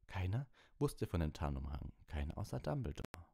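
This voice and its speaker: A man's voice: low voice, sing-song, moderate pace, gravelly, dark tone, husky. A man narrating an audiobook in a storybook reading style.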